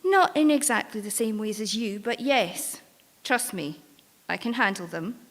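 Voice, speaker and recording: A woman's voice: medium pitch, lively intonation, a little slow. A woman playing a world-weary, protective ship's crew member in a live staged drama, wry and reassuring as she coaxes. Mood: firm, reassuring. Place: live on stage in an arts venue. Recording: reverberant hall, live stage performance.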